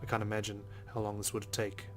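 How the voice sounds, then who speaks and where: Low, brisk, even-toned male game narrator. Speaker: let's play host. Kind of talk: reading visual novel narration aloud. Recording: game music behind the voice. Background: game music.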